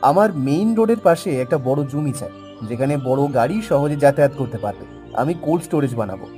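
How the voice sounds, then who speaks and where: Cartoon city tycoon, brisk and demanding, as a man plays him; warm, swinging voice over light music. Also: fairly high pitch, lively intonation, natural voice. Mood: businesslike. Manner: businesslike, mixes English words, hesitant "ah"s. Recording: soft background music.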